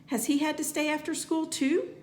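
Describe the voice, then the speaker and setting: A woman voicing a curious, friendly female newspaper reporter in a storybook, speaking slowly and politely. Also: high-pitched, little pitch movement, a little mellow, pitched above the performer's own voice, close to an everyday voice.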